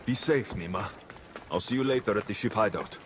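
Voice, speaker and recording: A man's voice: fairly low pitch, fairly quick, a little hiss or hum, close to an everyday voice. A man playing a terse, determined Greek mercenary hero in a video game cutscene. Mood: focused.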